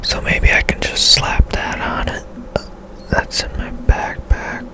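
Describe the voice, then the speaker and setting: Slow, breathy whispered ASMR game commentary. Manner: unhurried, musing to self, tentative. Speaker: gaming ASMR video creator.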